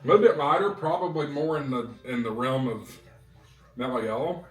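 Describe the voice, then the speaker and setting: A man talking casually to viewers as a vlog host, in an unhurried, halting way with short phrases. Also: mid-range voice, faint music behind, rough recording. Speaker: vlog host, ham radio hobbyist.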